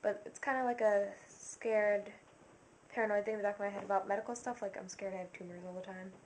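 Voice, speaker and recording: A young woman talks through a casual list, rambling and self-conscious, with plenty of fillers and sudden hushed asides. Her voice sits at an ordinary middle pitch. A faint hiss of background noise sits under the recording. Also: fairly even intonation, fast talker. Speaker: vlogger. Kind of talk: casual to-camera list monologue.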